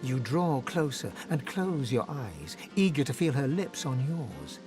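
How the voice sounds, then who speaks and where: Fantasy game narrator, dramatic storytelling, lively intonation, mid pitch, music behind. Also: a little slow.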